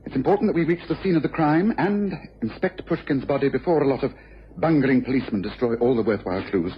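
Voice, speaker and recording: A dull, faintly hissy radio recording carries an actor as a commanding male detective. He talks quickly and incisively, intense and hard on himself, lecturing with absolute assurance. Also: medium pitch, lively intonation, close to an everyday voice. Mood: urgent, authoritative. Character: brisk, commanding detective, self-reproachful and intense. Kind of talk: radio crime drama acting.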